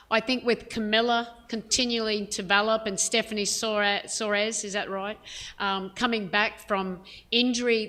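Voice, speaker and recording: A woman head coach answering reporters' questions at a press conference, frank and measured, with plenty of "um" and "you know". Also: mid-range voice, sharp tone. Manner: frank, measured, many fillers.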